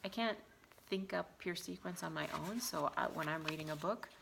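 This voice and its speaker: Woman, lowish pitch, gravelly fry, informal teacher-trainer delivery, noticeable background noise. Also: normal speed. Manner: acts out example questions as if to young children. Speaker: early literacy teacher trainer. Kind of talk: informal instructional talk with demonstrations of prompts to children.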